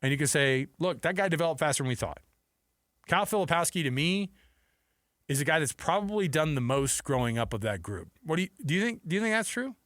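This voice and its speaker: A man's voice: on the high side, lively intonation, fast talker, fairly bright tone, dry recording, studio quality. An American man hosting sports talk radio, giving opinionated commentary in an emphatic, exasperated, conversational way. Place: radio studio.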